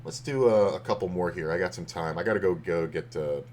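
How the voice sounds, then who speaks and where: Male streamer, deep and a bit hoarse, rambling casual poker commentary. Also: brisk, a little mellow, a little hiss or hum. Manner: rambling, loose, with many fillers and restarts. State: slightly hoarse.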